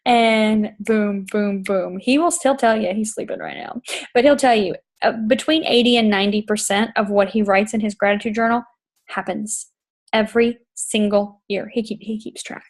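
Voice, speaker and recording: A woman's voice: mid-range voice, normal speed. A woman hosting a chat show, reading from a book and explaining it in an enthusiastic, emphatic way that repeats points, with a tickle in her throat. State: throat tickle, occasional coughs. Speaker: podcast host.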